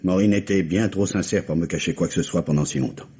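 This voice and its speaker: An actor playing a bereaved, polite but defensive husband in a French radio mystery drama. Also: male voice, deep voice, quick pace, gravelly, mellow, breathy edge, exaggerated voice. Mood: disbelieving, defensive. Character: bereaved husband, shaken, polite, defensive about his wife. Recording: muffled, noisy vintage radio drama recording.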